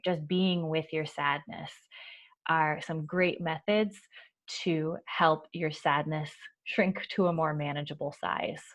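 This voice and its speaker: A woman hosting a children's storytime, reading and explaining in a soft-spoken, reassuring, measured way. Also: low voice, dull audio. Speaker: children's library storytime host. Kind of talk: gentle picture-book reading and explaining to children.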